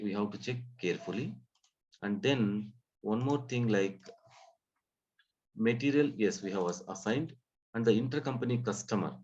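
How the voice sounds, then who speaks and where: Low-voiced man teaching, dull audio. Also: a little mellow. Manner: halting, repeats and rephrases phrases. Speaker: software trainer. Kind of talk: live training lesson, explanatory.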